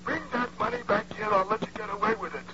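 A man's voice: sits high, fast talker, sharp tone. An actor playing a stern, indignant bank man in an old radio drama, accusing and emphatic through a telephone filter. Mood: indignant, threatening. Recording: muffled noisy old radio, phone line.

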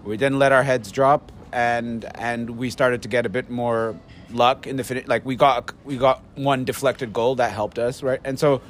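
Measured man over clear traffic noise. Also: mid-range voice, steady tone. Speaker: amateur football captain. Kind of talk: casual post-match analysis.

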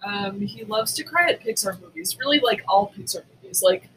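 A woman podcast co-host chatting casually, telling stories in a candid, animated, sweary way. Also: medium pitch, fairly quick, slight background noise, low-quality recording. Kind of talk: casual chat.

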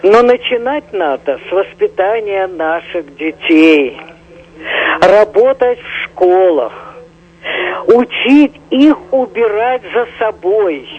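A woman's voice: medium pitch, lively intonation, slow pace, darkish tone. A woman calling into a radio show, speaking earnestly and complaining. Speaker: caller. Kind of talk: phone-in call. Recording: dull lo-fi phone call.

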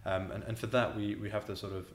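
A man's voice: lowish voice, little pitch movement, fast, slight background noise. A man who co-owns a restaurant, answering interview questions in a casual, hesitant way with repeated words and ums. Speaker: restaurant co-owner, guest. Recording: roomy echo.

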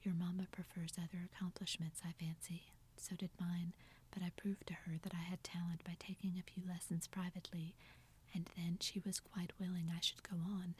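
A condescending English young lady speaks in a hushed, breathy whisper, as a woman reads her part aloud. Her tone stays level and low, patronizing without ever rising. This is a storybook reading done in soft ASMR style. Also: flat delivery, normal speed.

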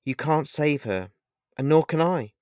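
A man's voice: on the high side, lively intonation, a little slow, darkish tone, clear, resonant tone, no room sound, studio quality, pitched above the performer's own voice. A man voicing a mysterious, angel-like stranger who speaks in a solemn, commanding way.

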